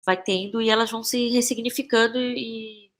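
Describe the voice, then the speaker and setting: A woman's voice: on the high side, steady tone, normal speed, a bit bright. A Brazilian woman speaking as a podcast guest, casual and reflective, often repeating phrases as she finds her words. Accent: Brazilian Portuguese. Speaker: interview guest, playwright and actress.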